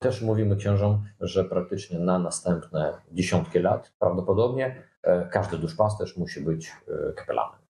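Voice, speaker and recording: A man speaking in an interview clip, halting and searching for words. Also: low-pitched, gravelly, mellow, reverberant. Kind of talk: interview excerpt.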